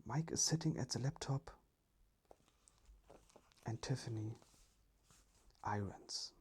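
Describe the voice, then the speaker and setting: A storyteller reading a children's story in a hushed, careful ASMR whisper. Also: mid-range voice, takes it slowly, a little hiss or hum.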